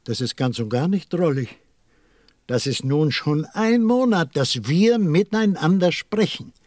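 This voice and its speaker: A weary, dutiful lamplighter in a radio play. He grumbles in a resigned, literal-minded way. The delivery is unhurried but very animated in pitch, recorded dry. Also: no echo. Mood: indignant. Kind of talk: German audio drama acting.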